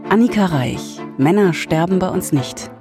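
A woman's voice: fairly low pitch, lively intonation, moderate pace, loud music behind the voice. A woman hosting a radio book segment, explaining novels in an articulate, conversational way as a literary critic.

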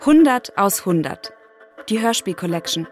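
A woman's voice: medium pitch, expressive, normal speed, faint music behind. A woman narrating a scripted promotional trailer as an announcer.

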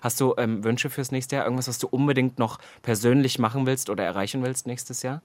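A man's voice: mid-range voice, steady tone, a little fast, dry recording. German guy hosting a podcast, joking around, mid voice, dry close sound. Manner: playful, teasing. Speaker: podcast host. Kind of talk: casual chat.

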